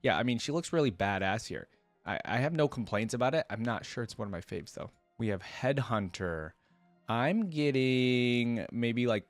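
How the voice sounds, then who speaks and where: A man's voice: lowish voice, no echo. A man hosting a reaction video, chatting casually and enthusiastically in slangy fan talk.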